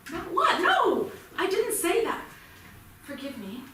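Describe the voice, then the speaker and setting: A woman's voice: extremely high pitch, wildly swooping pitch, takes it slowly, bright, forceful tone, slight background noise, full character voice. Woman playing flustered protective mom in a play reading, defensive, really echoey theatre, distant sound. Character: protective, indignant mother of a teenage boy. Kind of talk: staged reading of a stage play. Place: theatre stage, indoors. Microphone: distant room microphone.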